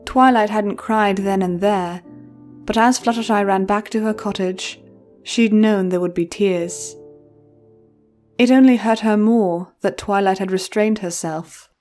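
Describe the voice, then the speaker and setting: A woman's voice: medium pitch, unhurried, a little mellow. A woman reading scripted story narration as the narrator of an audio drama. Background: music.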